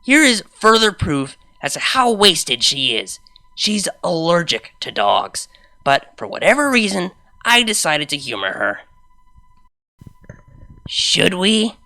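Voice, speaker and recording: Woman reading fanfic, low voice but really animated, close dry recording. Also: unhurried, dry recording. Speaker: fan fiction reader. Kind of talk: expressive first-person story narration.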